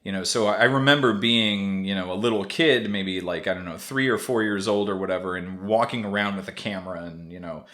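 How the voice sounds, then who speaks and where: A man's voice: low-pitched, fairly quick, few pauses, a bit bright. A man hosting an interview podcast, asking long, rambling questions full of restarts and fillers. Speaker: podcast host. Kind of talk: casual interview chat.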